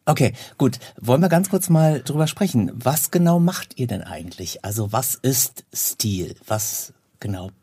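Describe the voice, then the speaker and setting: A man's voice: medium pitch, animated, unhurried, few pauses, warm tone, husky, no room sound. A man hosting a talk podcast, chatting and presenting to listeners and guests. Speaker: podcast host and interviewer.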